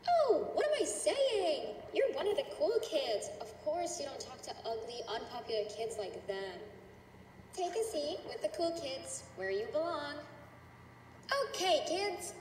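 Very high-pitched, sugary, menacing teacher played by a woman. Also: exaggerated voice. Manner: sugary, gushing, condescending with veiled threats. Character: cheerful, menacing female schoolteacher in a cartoon horror game. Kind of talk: character voice acting, addressing a class. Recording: roomy, distant, echoing with noise.

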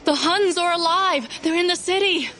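An actress playing an earnest, brave young woman warrior in an animated film. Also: extremely high pitch, normal speed, a faint crowd. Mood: urgent. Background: music.

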